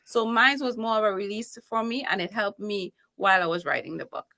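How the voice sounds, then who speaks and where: A woman from Trinidad and Tobago, an author guesting on a podcast, talking in a lively, laughing, emphatic way. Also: medium pitch, brisk, creaky. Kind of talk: casual interview chat.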